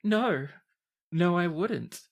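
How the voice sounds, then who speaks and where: A woman's voice: lowish voice, lively intonation, very slow, voice pushed up, exaggerated voice. A woman voicing a haughty, mocking noblewoman in a tabletop game, with a condescending, mock-polite drawl. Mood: contemptuous, angry. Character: haughty, mocking noblewoman, sneering and easily enraged.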